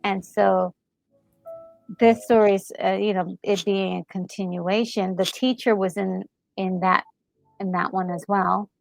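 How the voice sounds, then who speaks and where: A woman's voice: mid-range voice, fairly even intonation, measured pace, gravelly, no room sound, soft background music, rough recording. A woman in casual, reflective chat as a gaming co-host, hesitating and repeating words as she gathers her thoughts. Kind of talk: casual chat reviewing a game story.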